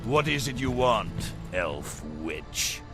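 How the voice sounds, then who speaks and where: Game commander role: blustering, distrustful human soldier, creaky edge, slow weighty delivery. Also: male voice, mid-range voice, very animated. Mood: suspicious. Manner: blustering, deliberate. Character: proud, suspicious human warlord, male, gruff and bellicose. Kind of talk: video game cutscene acting. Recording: game audio under clear music.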